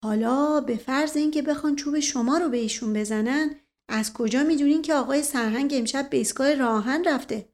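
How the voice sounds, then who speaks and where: A woman's voice: sits high, darkish tone. A woman voicing a teasing, indulgent prince, an urbane uncle with a coaxing manner, in a storybook reading. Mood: mock-serious.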